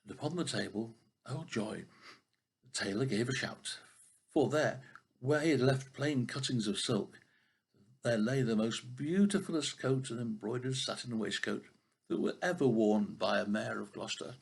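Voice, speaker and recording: An older man reading a children's story expressively, with a husky voice and the odd stumble over a word. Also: medium pitch, moderate pace. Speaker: storybook reader.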